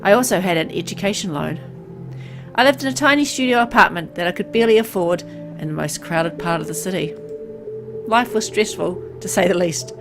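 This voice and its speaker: A bitter young man in his twenties narrates his own dying in a solemn, inward-looking way , read by a woman. He is resigned and brooding. Music plays clearly behind this visual novel monologue. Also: lowish voice, lively intonation, fairly quick. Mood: bitter reflection. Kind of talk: dramatic first-person visual novel narration.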